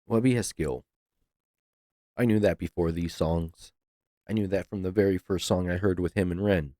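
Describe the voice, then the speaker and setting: A man's voice: sits low, steady tone, normal speed, warm tone, dry recording, clean studio sound. A man hosting a reaction video, talking casually with loose swearing and laughs. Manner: laid-back, swears freely, laughs.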